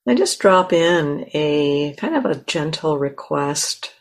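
A woman teacher leading a guided meditation in a gentle, unhurried way with long pauses between phrases. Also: low-pitched, lively intonation.

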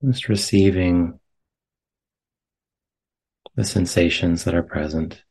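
Male meditation teacher, low register, very slow delivery, gentle phrasing with long silences between short lines. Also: creaky, breathy edge. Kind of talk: guided meditation. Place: meditation center.

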